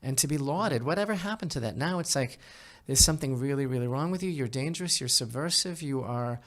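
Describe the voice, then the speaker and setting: A man co-hosting a podcast, speaking emphatically in halting bursts and piling up synonyms as he comments. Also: medium pitch, animated. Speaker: podcast co-host.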